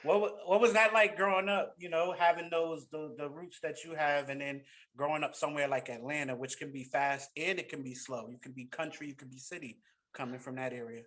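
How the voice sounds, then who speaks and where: Host: man with a bright, slightly high voice, easygoing interviewer on a headset. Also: brisk. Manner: relaxed conversational questioning. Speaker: podcast host. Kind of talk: casual interview chat. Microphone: headset on video call.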